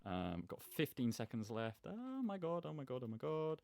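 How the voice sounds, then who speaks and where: A man's voice: mid-range voice, huge pitch swings, normal speed, creaky, noisy background. A man giving casual, rambling gameplay commentary as host, with a self-deprecating manner. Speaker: gaming video host.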